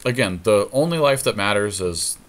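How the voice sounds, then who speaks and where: A man co-hosting a podcast, casually commentating on a game and thinking out loud as he reasons through each move. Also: on the low side, animated, normal speed. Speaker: podcast co-host.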